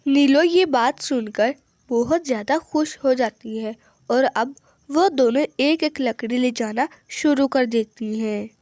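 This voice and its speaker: Woman, children's story narrator, high pitch, unhurried delivery, scripted. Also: darkish tone, no room sound, clean studio sound.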